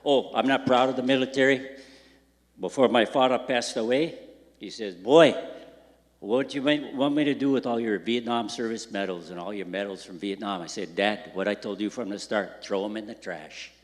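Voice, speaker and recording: A man's voice: lowish voice, fairly even intonation, moderate pace. An older man giving blunt, rambling, bitter public testimony at a community meeting. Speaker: public commenter. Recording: public hall with long reverb.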